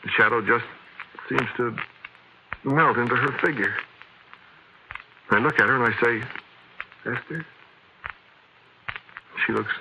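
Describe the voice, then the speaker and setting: An actor playing a sleepy, haunted man who tells his eerie tale haltingly in an old radio drama. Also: medium pitch. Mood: mounting dread. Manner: hushed, halting, dreamlike storytelling. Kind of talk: 1940s radio drama first-person narration. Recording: noisy vintage radio recording.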